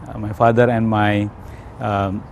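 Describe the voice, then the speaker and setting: A man from Indonesia with an Indonesian accent, telling his personal story in a hesitant, careful way with frequent pauses. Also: lowish voice, little pitch movement, slight background noise. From Indonesia, South Sumatra. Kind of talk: unscripted personal testimony.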